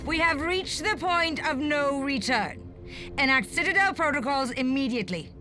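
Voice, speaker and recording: A woman's voice: high voice, animated, normal speed, background noise. A woman playing a harsh, impatient enforcer commander, curt and commanding, in a video game cutscene. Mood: urgent command. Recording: game music behind.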